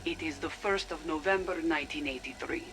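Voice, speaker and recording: Proud Soviet woman research chief, formal, deliberate, ambitious, video game log with light background noise. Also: sits low, a bit bright. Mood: solemn, proud. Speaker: game character, research lead. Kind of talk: formal acted audio log. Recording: noisy radio-like audio log.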